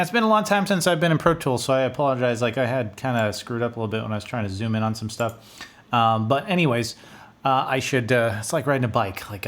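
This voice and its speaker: A man in his thirties speaks at an average pitch into a close studio microphone. He presents like a music producer talking to his viewers, informal and a little rambling. His delivery is self-deprecating and conversational. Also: a bit bright. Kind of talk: casual video talk to viewers. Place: small home studio room, indoors. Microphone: large studio condenser microphone, close.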